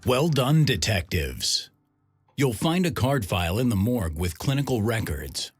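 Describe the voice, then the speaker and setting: A husky police chief from a mystery game. He sounds authoritative and encouraging, with lively swings in pitch and a dry, close sound. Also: measured pace, no echo, faint music behind. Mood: approving.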